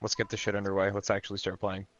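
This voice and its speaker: Deep-voiced man, laid-back and joking. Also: monotone, rapid-fire, lo-fi sound, background noise. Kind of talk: casual chat.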